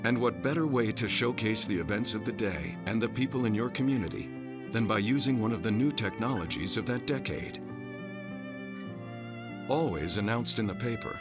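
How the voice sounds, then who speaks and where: A man narrating a documentary from a script. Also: fairly low pitch.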